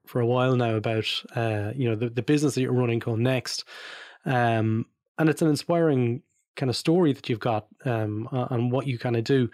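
A man's voice: medium pitch, darkish tone, no room sound, studio quality. A man hosting a design podcast, reading a scripted intro and then chatting informally with his guest. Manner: friendly, informal, loose phrasing. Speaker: podcast host. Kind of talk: scripted intro then casual interview chat.

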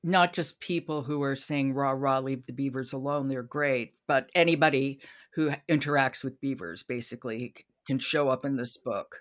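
A woman's voice: rumbling low, normal speed, hardly any pauses. A woman hosting a podcast, chatting casually about books. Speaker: podcast host. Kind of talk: casual conversational book discussion.

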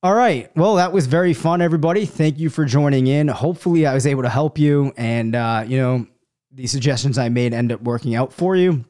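A man with a cold, a little hoarse, hosting a sports podcast alone and thinking out loud through listener questions, with many ums and hedges. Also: medium pitch, brisk. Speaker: fantasy football podcast host. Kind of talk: live solo Q&A, answering listener questions.

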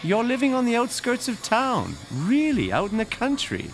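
Lively, placating real estate agent. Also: male voice, very high voice, moderate pace, soft background music, full character voice. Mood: dismissive. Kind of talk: radio drama acting.

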